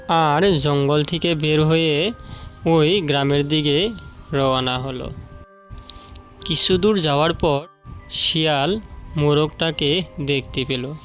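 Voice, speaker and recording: A narrator telling a scripted children's story as a storyteller. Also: medium pitch. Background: background music.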